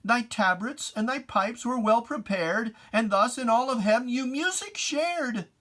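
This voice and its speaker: A reader giving a solemn, theatrical recitation of religious verse, with drawn-out phrasing. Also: medium pitch, animated, a bit bright. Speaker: poetry reader.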